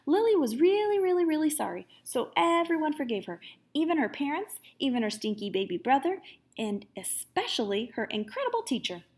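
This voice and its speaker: A woman teacher reading a story aloud to young children in an expressive, animated manner, pausing to chat with her listeners. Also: sits high.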